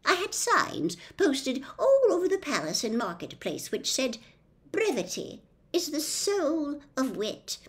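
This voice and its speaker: Woman acting a high-pitched genteel little old lady witch. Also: wildly swooping pitch, a bit bright, full character voice. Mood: proud, reminiscing. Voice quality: small voice. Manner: measured, genteel, formal phrasing. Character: pleasant little old lady witch, gentle, proud, confessional.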